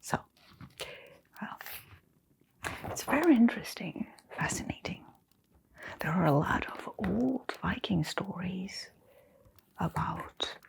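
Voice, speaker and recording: Casting: woman as gentle, welcoming bookshop keeper for ASMR storytelling; whispered, creaky, murmuring delivery. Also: lowish voice. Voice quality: soft breathy whisper with creak. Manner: unhurried, murmuring, pausing with little hums.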